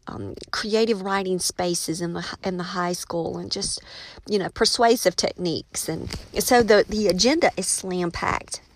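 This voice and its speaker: A middle-aged American woman talks casually on her own podcast. Her voice sits at an average pitch, and she tells things in a chatty, folksy way, as if to friends. The sound is dry, with very little room on it. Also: dry recording. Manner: chatty, folksy storytelling, addressing listeners as friends. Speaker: podcast host, teacher and writer. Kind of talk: casual solo podcast monologue.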